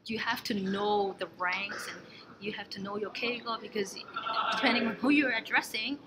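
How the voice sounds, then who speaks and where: A young woman from Canada talks through her answers in a relaxed, unhurried interview, circling back and restarting as she thinks. Her voice sits at an ordinary middle pitch. A steady hiss of room noise runs under the whole recording. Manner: thoughtful, many restarts and fillers, soft trailing asides. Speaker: interviewee, exchange alumna. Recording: noisy room ambience.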